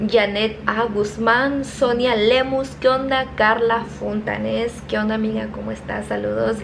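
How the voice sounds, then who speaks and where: A woman's voice: fairly high pitch, gravelly, background noise. Chatty Mexican woman vlogging on a phone. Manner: chatty, reading names quickly, laughing at herself. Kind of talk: casual shout-outs to viewers. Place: home kitchen, indoors. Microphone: phone held at arm's length.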